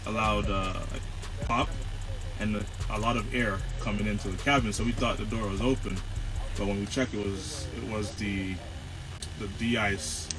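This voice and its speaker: A male pilot calmly explaining a mechanical problem, off camera in a jet cabin and picked up by a phone a few feet away. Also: lowish voice, crowd noise. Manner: calm, measured, with hesitations. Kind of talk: technical explanation. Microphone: phone mic from a few feet away. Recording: noisy phone audio from across a cabin.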